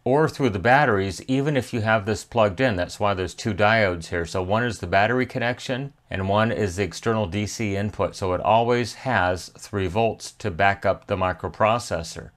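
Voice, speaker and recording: Male repair-tutorial host, lowish pitch, methodical step-by-step delivery. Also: fairly bright tone. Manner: methodical, step-by-step, thinking aloud. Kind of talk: hands-on repair tutorial narration. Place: indoor electronics workbench.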